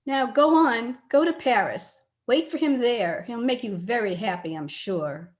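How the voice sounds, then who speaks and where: Woman playing a bitter, high-voiced young daughter. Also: measured pace, close to an everyday voice. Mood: bitter, anguished. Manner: accusing, dramatic. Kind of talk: old-time radio drama acting.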